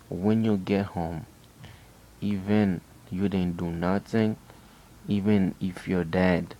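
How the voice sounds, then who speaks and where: Deep, flat Haitian-accented man. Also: gravelly, highs cut off. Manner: earnest, halting, with frequent restarts. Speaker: person giving a religious testimony. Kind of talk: personal faith testimony.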